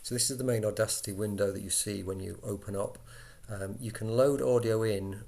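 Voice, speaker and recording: A man giving an informal software walkthrough, explaining step by step in a conversational way as an instructor. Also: lowish voice, steady tone, a little fast, creaky.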